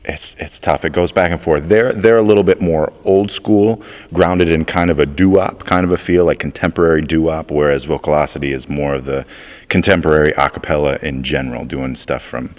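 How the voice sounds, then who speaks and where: A young man from upstate New York, a bass singer, chatting easily in an interview. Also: fairly even intonation, fairly quick. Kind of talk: casual interview.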